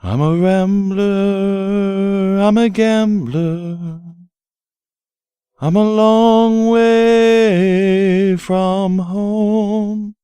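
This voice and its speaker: A singer delivering a slow, unaccompanied folk ballad in a smooth, clear voice. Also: medium pitch, a little mellow, no echo.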